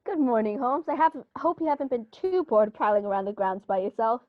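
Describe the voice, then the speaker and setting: A woman playing a flustered upper-class gentleman in a radio play, fussy and easily rattled. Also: on the high side, lively intonation, fairly quick, no echo, lo-fi sound, lightly acted. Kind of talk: 1930s radio play acting.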